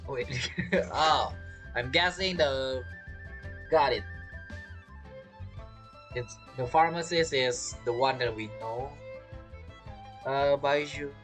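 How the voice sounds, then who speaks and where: A woman giving casual commentary as she plays a game, halting and thinking aloud as she goes. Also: extremely low pitch, faint music behind. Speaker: game streamer.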